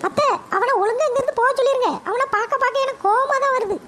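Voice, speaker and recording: Woman doing a shrill snapping mother. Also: fast, background noise. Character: shrill quarrelsome young mother.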